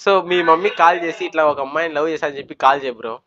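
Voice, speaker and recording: A man's voice: on the high side, fairly even intonation, fast talker, dull audio, crowd noise. A man chatting casually and coaxingly as a prank host, mixing in English words. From Kadapa, Andhra Pradesh, India. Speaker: YouTuber hosting a prank on a video chat. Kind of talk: casual banter, giving dares.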